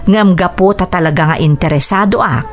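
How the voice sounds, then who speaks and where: A woman's voice: fairly low pitch, fairly quick, soft background music, natural voice. A young woman with an Ilocano accent playing an earnest, dutiful daughter in a radio drama, speaking respectfully and pleadingly. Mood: reflective, troubled. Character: earnest dutiful daughter hoping to work abroad.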